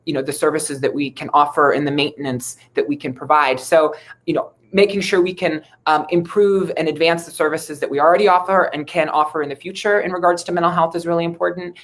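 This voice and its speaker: A woman from Ohio with an American accent, answering interview questions in an earnest, measured, conversational way as a local politician. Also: deep voice, steady tone. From Ohio, United States. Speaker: city council member, interview guest.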